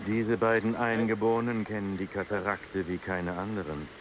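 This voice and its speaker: An actor playing an authoritative Jesuit mission priest in a radio play, giving matter-of-fact instructions. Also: male voice, on the low side, level tone, moderate pace, background noise, lightly acted. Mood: curt, authoritative.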